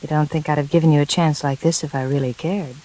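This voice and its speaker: An actress playing a cool, seductive schemer in a 1940s radio thriller, smooth-talking and coaxing. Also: female voice, low voice, fairly even intonation, quick pace, mellow, low-quality recording. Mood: confiding, seductive. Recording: hissy 1940s radio recording, rain noise.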